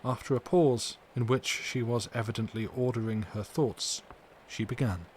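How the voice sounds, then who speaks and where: A man reads literary narration in a measured, dramatic style. His pitch is average for a man. The recording carries faint steady noise. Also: poor audio. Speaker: audiobook reader, streamer. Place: live stream from indoors.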